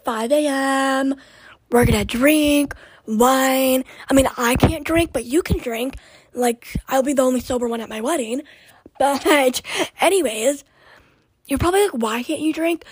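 Raspy high-voiced young woman rambling. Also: dry recording, rough recording. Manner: chatty, scattered, drops to whispered asides. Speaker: podcast host. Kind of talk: casual rambling chat.

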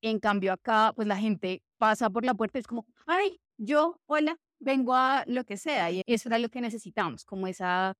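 A woman's voice: on the high side, animated, normal speed, hardly any pauses, fairly bright tone, no echo. Chatty young woman, dry sound. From Colombia. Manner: chatty, full of fillers like "o sea" and "pues". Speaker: guest, café co-founder. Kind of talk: casual conversational interview.

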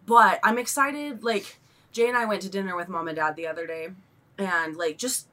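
A bubbly young woman co-hosting a casual podcast, her voice bright and clear. She's stuffy from allergies and gushes enthusiastically, filling her sentences with "like". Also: medium pitch, moderate pace. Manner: bubbly, enthusiastic, says "like" a lot. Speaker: podcast co-host. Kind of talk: casual chat.

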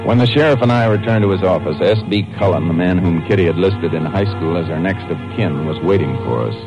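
Low, grim Texas Ranger. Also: male voice, lively intonation, over loud music. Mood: matter-of-fact narration. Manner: measured, terse, official. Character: grim, determined Texas Ranger investigator and narrator. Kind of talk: 1950s radio crime drama acting. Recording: muffled, noisy old radio recording.

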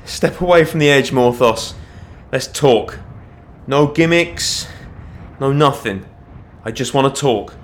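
A man playing an earnest city watchman in a fantasy audio story, forceful and urgent in his appeals. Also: mid-range voice, animated, takes it slowly, a little hiss or hum, voice pushed up. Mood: urgent pleading.

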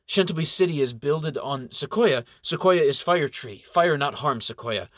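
Brief: shy submissive male elf, halting broken English, fairly high voice, read by a man. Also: animated, dry recording, studio quality, close to an everyday voice. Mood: explaining.

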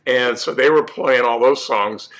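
A male musician from California, hoarse from laryngitis, telling stories in a rambling, relaxed way with many fillers. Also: mid-range voice, a little slow. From California, USA. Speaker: musician guest. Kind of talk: storytelling interview answers.

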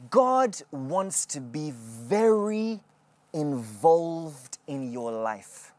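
Female TV prayer host; low, unhurried, sermon-like delivery with a dry studio sound. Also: a little mellow, no echo. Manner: measured, emphatic, slow sermon-like delivery. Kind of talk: preaching and interviewing callers. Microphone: studio microphone.

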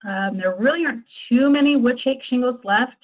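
A woman's voice: a little high, fairly quick. An American woman giving conversational expert explanations as an insurance agent, in a chatty, agreeable, informal way. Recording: thin, phone-like call audio.

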